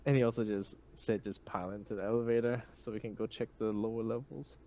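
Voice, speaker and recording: A man's voice: lowish voice, fairly even intonation, fast talker, dark tone, slight background noise. A man playing a tabletop roleplaying game, chatting casually and speaking for his character. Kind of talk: casual chat and in-character play.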